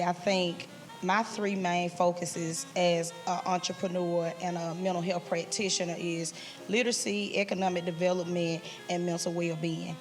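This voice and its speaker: A woman entrepreneur and mental health practitioner speaking thoughtfully on a live panel. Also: fairly low pitch.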